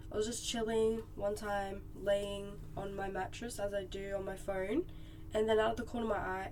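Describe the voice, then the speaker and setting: Laid-back young Australian woman streamer, unhurried rambling chat, steady noise behind her. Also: mid-range voice. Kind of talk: casual chat with live viewers while drawing.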